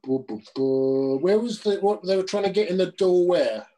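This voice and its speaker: Man, game player, slow drawl, slightly high voice, hesitant. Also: expressive, dark tone, faint music behind. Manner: hesitant, thinking aloud.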